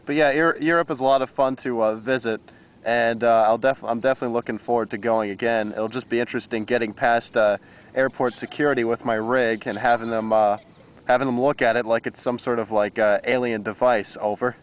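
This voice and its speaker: A man's voice: mid-range voice, steady tone, brisk, clear, resonant tone. An American man chatting casually as an amateur radio operator, with a hesitant, rambling manner. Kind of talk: casual radio contact chat.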